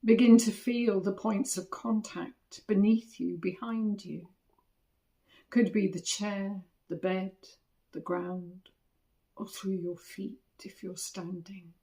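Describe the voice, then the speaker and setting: A woman leads a guided meditation in a medium-pitched voice with plenty of vocal fry. She speaks very slowly and gently, in short phrases with long pauses between them. The delivery is soothing and instructional, as from a body scan teacher. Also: fairly even intonation.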